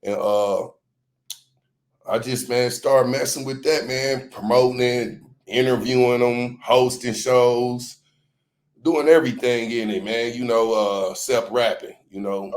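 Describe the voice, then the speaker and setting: A man being interviewed as a music promoter, telling his story in relaxed, slang-filled casual talk. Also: medium pitch, measured pace. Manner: laid-back slang-heavy storytelling.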